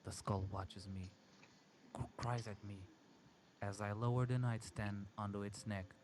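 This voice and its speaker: A man reading a first-person horror story aloud in a halting, dramatic way. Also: lowish voice, fairly even intonation, noisy background. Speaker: amateur story reader.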